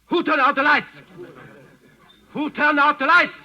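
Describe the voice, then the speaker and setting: An actor playing a bossy, excitable Nazi official barking orders in a 1940s radio drama. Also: male voice, very high voice, measured pace, full character voice. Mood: alarmed. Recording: dull, muffled vintage 1940s radio recording.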